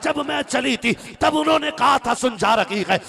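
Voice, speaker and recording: A man preaches in a high voice full of heavy rasping creak. He tells religious stories with great drama and emotion, repeating phrases for effect and acting out each exchange. His delivery sounds strained and impassioned. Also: animated, normal speed, sharp tone. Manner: dramatic, emotional delivery with acted-out dialogue and rhetorical repetition. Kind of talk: impassioned religious storytelling sermon.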